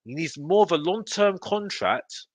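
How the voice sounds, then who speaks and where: A man's voice: sits high, animated, unhurried, dry recording. A man hosting a live football fan show, ranting in an emphatic, repetitive, exasperated way. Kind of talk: live opinionated fan rant to viewers.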